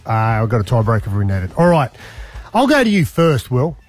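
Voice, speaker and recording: A man's voice: mid-range voice, big pitch swings, fairly quick, no echo, faint music behind. A man with an Australian accent hosting a radio quiz with teasing, blokey banter. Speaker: breakfast radio host and quizmaster. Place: radio studio.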